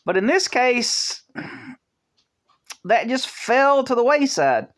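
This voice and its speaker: A vlog host giving casual opinion commentary, talking in a halting way, restarting phrases and filling pauses, in an earnest, conversational tone. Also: medium pitch, very animated, fairly bright tone. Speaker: channel host.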